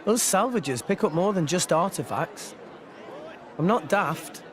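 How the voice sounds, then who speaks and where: A man's voice: high-pitched, expressive, unhurried, a bit bright, slight background noise. A young man playing an earnest, plain-spoken, headstrong teenage boy hero in a video game. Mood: frank, serious. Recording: game music and crowd bustle behind.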